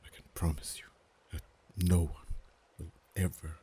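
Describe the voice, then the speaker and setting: A man voicing a proud, haughty male dragon in an ASMR romance roleplay, speaking softly with whispered and stammering stretches. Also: rumbling low, little pitch movement, husky, full character voice. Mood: tender. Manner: soft-spoken, partly whispered, halting stammers.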